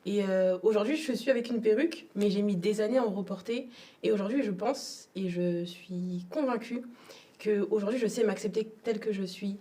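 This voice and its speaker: A woman's voice: medium pitch, moderate pace, warm tone, distant mic. A young adult woman from Togo, telling her personal story as an interview guest in a reflective, hesitant way. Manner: reflective, repeats words, frequent hesitations.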